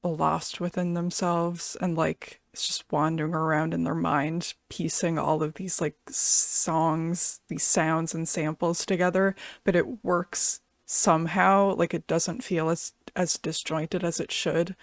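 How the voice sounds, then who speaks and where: A woman's voice: sits low, level tone, unhurried, hardly any pauses, no room sound, clean studio sound. A woman giving casual music reaction commentary as a reviewer, thinking aloud in a hesitant way.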